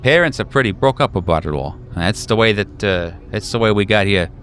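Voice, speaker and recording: A man playing a weary, hardened veteran handler in a tabletop roleplay, talking in a halting, offhand way that trails off. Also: lowish voice, animated, a bit bright, a little hiss or hum. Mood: matter-of-fact, halting. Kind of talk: improvised tabletop roleplay dialogue.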